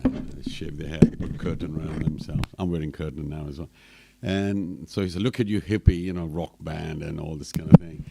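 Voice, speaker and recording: A man's voice: low-pitched, very noisy. A man in his seventies from Kenya, giving a rambling, anecdotal talk to students, with many restarts and fillers. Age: 70s. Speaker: temple speaker.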